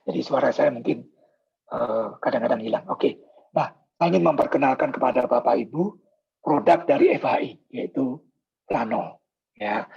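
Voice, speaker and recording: Hoarse male presenter, gravelly and husky, dull lo-fi video call audio. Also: medium pitch, a little mellow. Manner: polite, explanatory, many filler pauses. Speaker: product presenter. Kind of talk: sales presentation in a video meeting.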